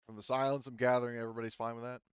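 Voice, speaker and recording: A man acting as game master, casually explaining tabletop game rules in a halting, informal way over a slightly lo-fi voice chat. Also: mid-range voice, flat delivery, smooth, no room sound.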